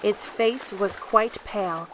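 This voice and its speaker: A woman's voice: mid-range voice, slow, background noise. A woman narrator reading a scripted children's story for an audio drama.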